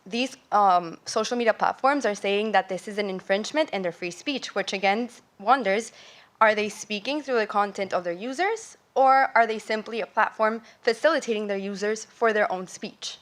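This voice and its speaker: A woman's voice: mid-range voice, moderate pace. A young woman from Venezuela giving an earnest student presentation, explaining ideas step by step with occasional fillers and self-corrections. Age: young adult. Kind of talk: academic presentation. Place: lecture hall.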